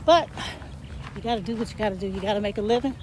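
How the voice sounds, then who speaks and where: An older woman chatting casually while walking uphill outdoors, a bit hoarse and winded, rambling with lots of fillers. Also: mid-range voice, fast talker, noisy background. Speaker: vlogger. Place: outdoors in a park field.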